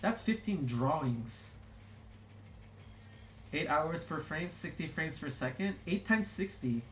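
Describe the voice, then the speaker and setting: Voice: mid-range voice, expressive, slow pace, a little hiss or hum. A young adult streamer chatting casually while drawing, rambling with lots of "like" and self-corrections. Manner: rambling, full of "like" and restarts. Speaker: streamer reading chat. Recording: roomy room echo.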